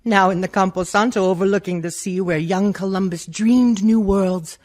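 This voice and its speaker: A woman playing a worldly, scheming rich widow in a theatrical radio monologue, with slow and dramatic phrasing. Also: medium pitch, fairly even intonation, darkish tone, natural voice. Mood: worldly, sly. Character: worldly, insidious, much-married rich woman telling her life from the grave.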